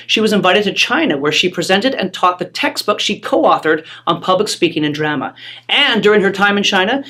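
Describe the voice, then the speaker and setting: School newsletter narrator, formal, measured read. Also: mid-range voice, a bit bright. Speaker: newsletter presenter. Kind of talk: scripted announcement narration.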